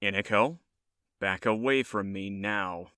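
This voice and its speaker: A man's voice: fairly low pitch, measured pace, sharp tone, clean tone, no room sound, studio quality. A man playing an exasperated eldest elf brother in a fantasy audio drama, speaking formally and articulately.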